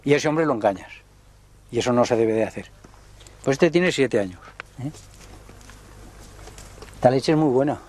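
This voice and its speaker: An older man, a livestock trader telling unhurried, folksy stories in a documentary interview. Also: mid-range voice, expressive, a little mellow. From Spain. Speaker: horse and mule trader.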